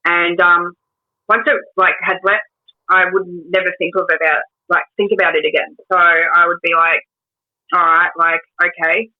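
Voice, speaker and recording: A woman in her twenties with an Australian accent, a guest telling her life story in a casual interview, hesitant with many pauses and restarts. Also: lowish voice, level tone. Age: 20s. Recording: tinny phone-like line.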